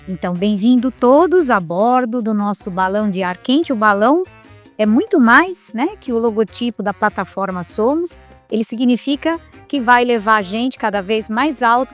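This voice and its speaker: A woman hosting a podcast, speaking in an upbeat way and often adding "né?" tags. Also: a little high. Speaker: podcast host. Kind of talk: podcast host introduction.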